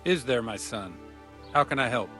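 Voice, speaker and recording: An actor playing a worldly, genial priest in a medieval video game with animated delivery. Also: male voice, medium pitch, moderate pace, soft background music, lightly acted. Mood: amused, obliging.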